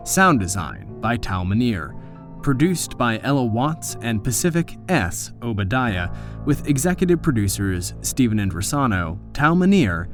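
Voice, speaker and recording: A man reading scripted production credits as the announcer of an audio drama. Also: medium pitch.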